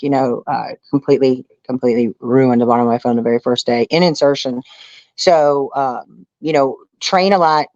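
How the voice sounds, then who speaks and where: A woman's voice: extremely low pitch, a little mellow, no echo. A woman speaks casually as an interview guest, telling things in an informal, emphatic way. The sound is dry and a little dull, with a lo-fi edge. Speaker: reality show castaway being interviewed.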